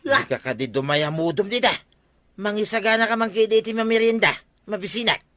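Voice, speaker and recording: Excitable comic sidekick, high-pitched and sharp, Ilocano accent, squabbling radio comedy style. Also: male voice, brisk, dry recording. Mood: bickering. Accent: Ilocano Filipino.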